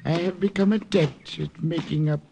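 Sly village priest. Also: male voice, a little high, steady tone, moderate pace, vocal fry. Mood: calm. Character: wily middle-aged male French village priest. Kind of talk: 1940s radio acting. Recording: old radio broadcast with faint hiss.

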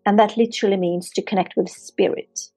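A woman's voice: mid-range voice, fairly even intonation, brisk, dark tone, dry recording, faint music behind. A woman from Sweden with a Swedish accent, a yoga therapist and physiotherapist giving earnest, flowing answers in a podcast interview. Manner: earnest, flowing, repeats herself for emphasis.

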